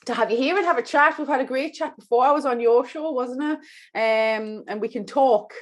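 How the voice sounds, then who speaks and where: A woman hosting a casual chat podcast, upbeat and eager, with quick asides to her guest and listeners. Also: sits high. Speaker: podcast host.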